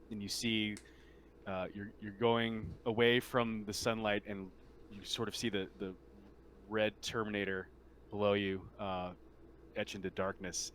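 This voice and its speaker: A man narrating a tabletop roleplaying game as game master, in an informal, halting way. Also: lowish voice, fairly bright tone, slight background noise.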